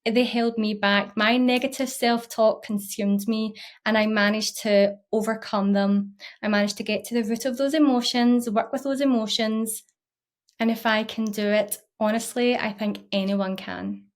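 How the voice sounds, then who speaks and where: A woman's voice: a little high, normal speed. A woman in her thirties with a Scottish accent, talking to camera as a mindset coach in an earnest, encouraging way. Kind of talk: casual talk to camera.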